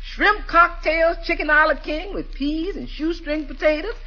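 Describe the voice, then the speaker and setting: An actress playing a good-humored, frank housekeeper with a folksy, teasing, chuckling manner in a 1940s radio comedy. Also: female voice, high-pitched, normal speed, sharp tone. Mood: proud, sly. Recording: muffled, faintly noisy 1940s radio recording.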